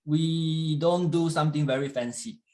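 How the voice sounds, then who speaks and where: Non-native English-speaking man preaching haltingly. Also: on the high side, a little mellow. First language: not English. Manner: halting, with frequent fillers and restarts. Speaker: guest church leader. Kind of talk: sermon in English with live interpretation.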